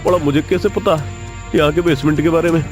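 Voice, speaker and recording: High-pitched flustered male constable, acted by a man. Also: steady tone, lightly acted. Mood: evasive, flustered. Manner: hurried, protesting. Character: nervous, evasive male police constable, underling to madam. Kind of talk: cartoon story character acting. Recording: music behind the voice.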